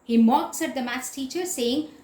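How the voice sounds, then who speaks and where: Lady teacher explaining stuff like a lecture, high voice, really emphatic, roomy echo. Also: normal speed, warm tone. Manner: didactic, emphatic, repeats and spells out key words. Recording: echoey room.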